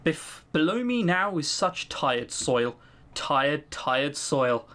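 A man's voice: on the high side, very animated, takes it slowly, pushed and bright. A man giving let's-play commentary, reading game text aloud and breaking off for dry, sweary, critical asides.

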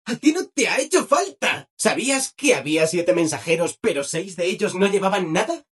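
High-pitched, chirpy, eager robot assistant. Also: male voice, sing-song, moderate pace, fairly bright tone, slightly rough, heavily acted. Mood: proudly boastful. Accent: Castilian Spanish. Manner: chirpy, over-obliging, exclamatory. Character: relentlessly cheerful, eager-to-please robot assistant. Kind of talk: video game character voice acting.

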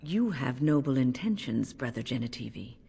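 An actress voicing a wise, skeptical female companion in a fantasy game, measured, formal and probing. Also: a bass voice, lively intonation, gravelly, mellow, husky, long reverb tail, full character voice. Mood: gently challenging.

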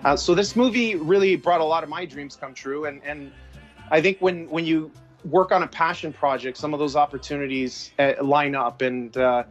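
A man's voice: on the high side, moderate pace, faint music behind. Canadian man on a lo-fi video call. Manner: reflective, rambling with restarts. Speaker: film director guest. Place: home room with shelves. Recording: dull lo-fi video call audio.

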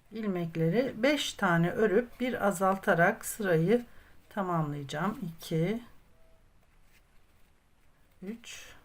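A woman narrating a step-by-step craft tutorial in a patient, methodical way, as the host. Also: lowish voice. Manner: patient, methodical instruction, counting aloud.

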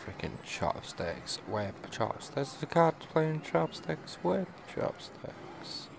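A man's voice: a little high, very animated, slow, creaky, noisy background. A man casually muttering to himself with mild swearing while live-streaming a game.

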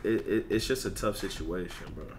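Low-voiced man ranting casually. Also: a little fast, slight background noise. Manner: profane, slangy, repetitive ranting. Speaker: video game streamer. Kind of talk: casual livestream chat. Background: music.

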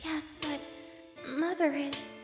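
A woman's voice: high voice, fairly even intonation, slow, in a large hall, over music. A woman playing a young, feisty and emotional princess in a video game cutscene. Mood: earnest.

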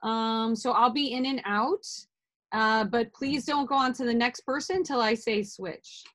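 A woman's voice: a little high, measured pace, a bit bright. Upbeat woman teaching over a video call. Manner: casual, upbeat, with many fillers. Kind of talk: online class instruction. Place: home room with art on walls. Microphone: laptop webcam video call.

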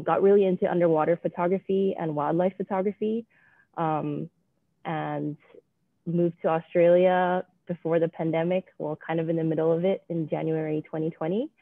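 A woman in her twenties from Hong Kong, introducing herself on a video call in a relaxed, steady way with frequent ums. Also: low-pitched, creaky, dry recording. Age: 20s. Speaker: panelist. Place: small room indoors. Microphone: earbuds on a video call. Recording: tinny, phone-like call audio.

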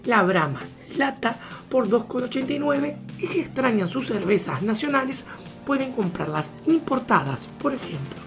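High-voiced woman reading prices over music. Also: very animated. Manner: brisk, listing prices one after another. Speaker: travel vlogger. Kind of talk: scripted voice-over narration of prices.

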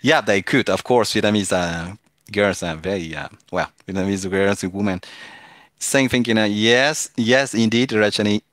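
A man's voice: on the low side, moderate pace, a bit bright, no echo. A man from France with a French accent, chatting casually with viewers while streaming a mobile game, hesitating often with fillers and restarts.

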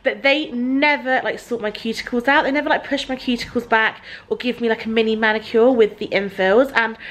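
A woman's voice: a little high, steady tone, fairly quick, a bit bright. A woman in her thirties with a southern English accent, chatting casually and emphatically in a rambling, informal vlog. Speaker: vlogger. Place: parked car, then living room at home. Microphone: handheld camera at arm's length.

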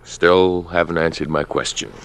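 An actor playing a confident, flirtatious suitor in a 1950s radio drama. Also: male voice, low-pitched, steady tone, moderate pace. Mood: wooing. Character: eager, flirtatious suitor, confident salesman type. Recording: faintly noisy old radio broadcast.

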